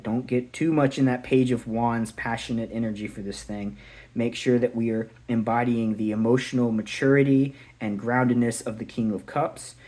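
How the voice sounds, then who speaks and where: Male tarot reader, mid-pitched voice, slightly sore throat, thinks aloud, reflective delivery. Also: mellow. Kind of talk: casual tarot reading to camera.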